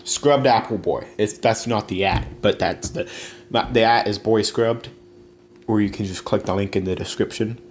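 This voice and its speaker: A man's voice: lowish voice, normal speed, slight background noise. A young man hosting a casual solo podcast, rambling with many fillers and restarts. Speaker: podcast host.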